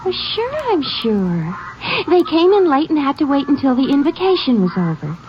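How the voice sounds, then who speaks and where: A woman's voice: sits high, big pitch swings, moderate pace. A gushing teenage girl usher. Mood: eager. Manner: breathless, gushing, hesitant starts. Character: eager young female high school usher, chatty. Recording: noisy dull old radio broadcast.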